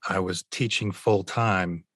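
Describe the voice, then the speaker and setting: A man's voice: low voice, steady tone, slow pace, a bit bright, dry recording, studio quality. A middle-aged man with a mid-Atlantic American accent, chatting as a podcast guest, rambling with fillers and restarts. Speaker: voice actor guest. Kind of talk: casual podcast chat.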